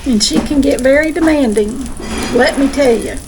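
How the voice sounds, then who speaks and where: A woman's voice: sits high, moderate pace, background noise. A middle-aged woman with a Southern American accent chatting casually in a folksy way as she demonstrates home cooking. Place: home kitchen.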